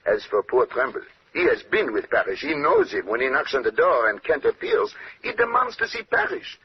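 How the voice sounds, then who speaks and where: An actor playing a brilliant, self-assured Belgian detective with a French-speaking accent, speaking precisely and courteously in old-time radio drama style. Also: male voice, mid-range voice, huge pitch swings, normal speed, breathy edge, full character voice. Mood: confident, explanatory. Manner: precise, lecturing, punctuates points with questioning "eh?". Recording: dull, noisy old radio recording.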